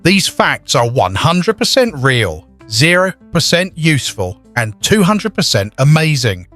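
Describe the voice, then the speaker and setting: A man's voice: on the high side, big pitch swings, fairly bright tone, soft background music. A man voicing a pompous talking marble statue, lecturing theatrically in a comic puppet show. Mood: pompous, teasing. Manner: pompous, theatrical, deliberate delivery. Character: pompous talking marble statue, self-proclaimed judging educator. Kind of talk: scripted comic educational narration for puppet show.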